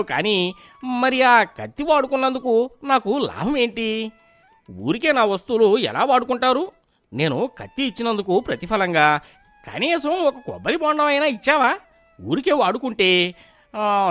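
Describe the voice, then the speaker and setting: A man playing a greedy, middle-aged village landlord in an animated moral story, wheedling and demanding with drawn-out questions. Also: very high-pitched, soft background music, full character voice. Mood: grasping, demanding.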